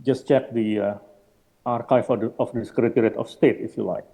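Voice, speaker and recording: A man's voice: medium pitch, fairly even intonation, a little fast, creaky, dark tone, slightly rough. A man giving an academic slide presentation at a roundtable, thinking aloud and hedging as he goes. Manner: hesitant, thinking aloud, hedging with "perhaps" and "I don't know". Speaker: panel speaker, architecture researcher. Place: gallery hall at a roundtable. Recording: long hall reverb.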